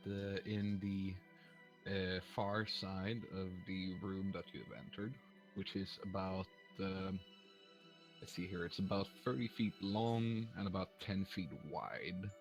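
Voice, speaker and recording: Slow, deep-voiced man running a game. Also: steady tone, faint music behind, rough recording. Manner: hesitant, lots of ums and uhs. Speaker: game master. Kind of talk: narrating a tabletop game.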